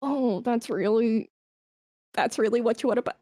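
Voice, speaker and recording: A woman chatting casually as an interview guest, telling work stories in a candid, slangy, animated way. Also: fairly high pitch, normal speed, no echo, studio quality. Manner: candid, animated storytelling with slangy asides and acted-out quotes. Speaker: esports brand manager, podcast guest.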